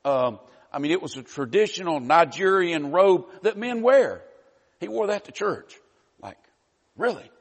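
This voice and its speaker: A man's voice: sits high, sing-song, measured pace, in a large hall. A man with a Southern US accent preaching a sermon in a folksy, earnest way, dropping in frequent "you know" asides. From Southern United States.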